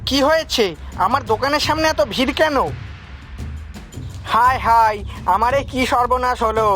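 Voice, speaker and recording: Casting: male actor for an envious cartoon noodle seller, extremely high bright voice, scheming, theatrical delivery. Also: normal speed, faint music behind. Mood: wailing. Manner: gloating, scheming, melodramatic. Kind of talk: animated moral story voice acting.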